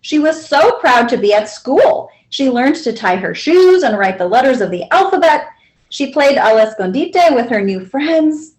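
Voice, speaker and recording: A woman's voice: sits high, very animated, normal speed, a little mellow, low-quality recording. A woman reading a children's picture book aloud as a library storyteller.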